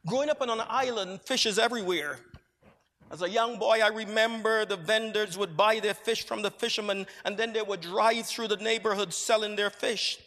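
A man from Barbados preaching a sermon, with measured, reflective storytelling. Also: sits high, bright, forceful tone. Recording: long hall reverb.

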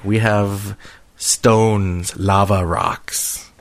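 A man's voice: lowish voice, fairly even intonation, very slow, vocal fry. A man hosting a podcast in casual conversation, with a playful, teasing manner. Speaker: podcast host.

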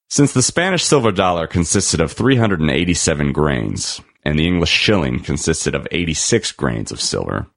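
A man reading a scripted article aloud as a narrator, in a measured, deliberate and formal manner with emphatic phrasing. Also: very deep voice, gravelly, dry recording, clean studio sound.

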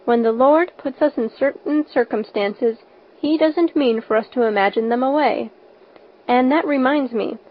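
A woman's voice: sits high, moderate pace. A woman voicing a stern, practical older guardian in an audiobook, firm and matter-of-fact, on a slightly dull recording. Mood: stern, commanding. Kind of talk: audiobook character voice.

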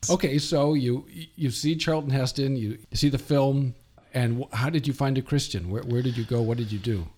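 A man hosting a radio interview, introducing his guest and asking measured, friendly questions. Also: medium pitch, darkish tone, dry recording. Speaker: radio host.

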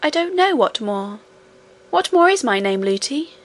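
A woman's voice: sits high, big pitch swings, unhurried, pitched above the performer's own voice. A woman voicing an innocent, stubborn little princess in a storybook, speaking with earnest, childlike politeness. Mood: curious. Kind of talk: storybook character reading.

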